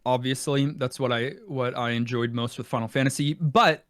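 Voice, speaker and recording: A man's voice: mid-range voice, steady tone, moderate pace, a bit bright, dry recording, clean studio sound. A man co-hosting a podcast, talking casually as he reviews games. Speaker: podcast co-host. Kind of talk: casual game review chat.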